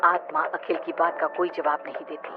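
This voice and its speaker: A woman's voice: deep voice, level tone, moderate pace. A woman giving dramatic scripted narration of a horror story. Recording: muffled audio with loud music bed.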